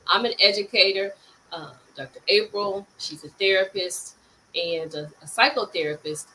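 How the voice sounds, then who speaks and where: Bright-voiced woman talk show host. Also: mid-range voice, moderate pace, a little hiss or hum, rough recording. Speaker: host, educator.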